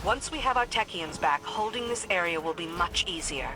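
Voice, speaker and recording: An actress playing a composed, dutiful military commander in a video game, giving crisp, businesslike mission briefings. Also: female voice, on the low side, lively intonation, moderate pace, natural voice, low-quality recording. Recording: loud music behind voice.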